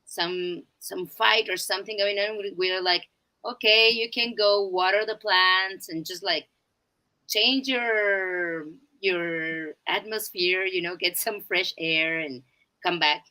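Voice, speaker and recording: A woman educator answering a panel question gently, with many fillers. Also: mid-range voice, slow pace, rough recording.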